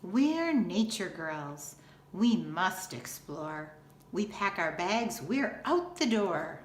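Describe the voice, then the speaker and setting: A woman reads aloud for children like a schoolteacher. Her delivery is unhurried, deliberate and expressive. The room adds noticeable echo, with a faint layer of noise behind her. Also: on the low side. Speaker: school teacher reading aloud. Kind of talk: children's picture book read-aloud.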